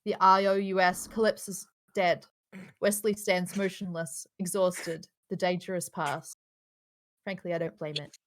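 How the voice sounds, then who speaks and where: A woman's voice: lowish voice, level tone, measured pace, rough recording. Woman narrating a script at a table read. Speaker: narrator reading screenplay stage directions. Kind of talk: live table read narration with casual asides.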